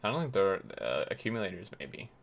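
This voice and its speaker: A man hosting a casual game tour, talking with warm enthusiasm and plenty of fillers, his voice getting hoarse. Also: sits low, big pitch swings, quick pace. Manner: enthusiastic, rambling with many fillers. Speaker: gaming video host.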